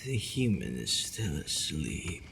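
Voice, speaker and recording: A man playing an imperious but secretly softening male vampire in an ASMR roleplay, drawling theatrically with murmured asides. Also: rumbling low, sing-song, slow pace, creaky, noisy background, full character voice. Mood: brooding, conflicted.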